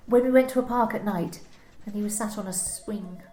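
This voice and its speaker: A woman's voice: medium pitch, brisk, warm tone, reverberant, lightly acted, rough recording. A woman playing a guarded young office worker. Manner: short, reluctant, guarded answers. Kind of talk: amateur lockdown radio play reading.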